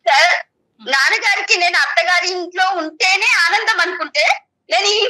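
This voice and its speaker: Bright, very high-pitched insistent young woman. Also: normal speed, poor audio. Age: young adult. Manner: insistent, emotional. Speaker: guest seeking counselling. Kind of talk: emotional personal pleading.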